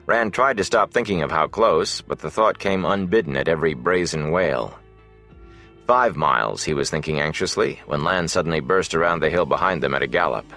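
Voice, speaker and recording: A man with a deep voice narrates a fantasy novel. His delivery is scripted audiobook storytelling. Soft music plays faintly behind him. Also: fairly quick.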